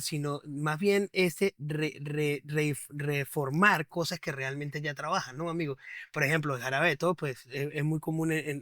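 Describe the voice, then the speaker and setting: A man's voice: on the high side, fairly bright tone, no echo, studio quality. A man hosting a podcast interview, asking questions and summing up answers, often stumbling over repeated words. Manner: eager, stumbling repetitions, rephrases answers. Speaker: podcast host.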